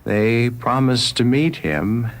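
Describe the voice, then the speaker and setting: A man playing a sober, matter-of-fact small-town banker in a radio story, deliberate and resigned. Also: mid-range voice, pitched above the performer's own voice. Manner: deliberate, explanatory.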